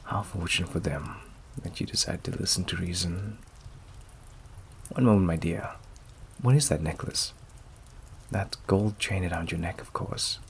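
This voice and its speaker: A suave male vampire played by a man for an ASMR roleplay, speaking very low with a gravelly fry. He is possessive and courteous at once, teasing and menacing in silky tones that often sink to whispers. Noisy rain and fireplace ambience runs behind him. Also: normal speed, long pauses. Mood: courtly, confident. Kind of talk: intimate ASMR roleplay acting. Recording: clear background noise and ambience.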